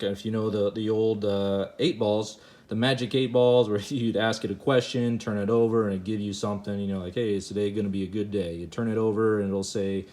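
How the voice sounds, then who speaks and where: A man's voice: lowish voice, a little mellow. A man giving an informal hands-on gadget demonstration, half talking to himself as he works. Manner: informal, talks to himself while working. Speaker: video presenter demonstrating a gadget. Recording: clear background noise, handling clicks.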